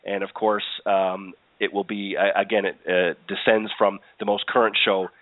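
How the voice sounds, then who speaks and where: A man hosting a live internet golf radio show, hoarse from a cold, talking loosely with many fillers and restarts. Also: low voice, level tone, moderate pace, no room sound. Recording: phone-like line, dry.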